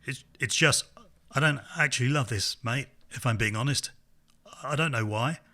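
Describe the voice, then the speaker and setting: A man's voice: medium pitch, normal speed, sharp tone, lightly acted. Hesitant, frightened man, acted by a male reader. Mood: frightened, apologetic. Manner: hesitant, stammering, apologetic. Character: uneasy, pleading male friend, hesitant and frightened. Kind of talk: acted dialogue in a literary audiobook.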